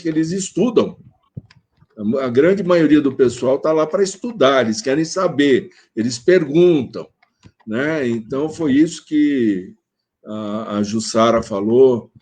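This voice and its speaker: Man, brazilian portuguese accent, mid pitch, rambling with fillers, video call panel talk. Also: a little mellow. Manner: rambling, hesitant, many fillers. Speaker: panel guest. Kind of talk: live online conversation.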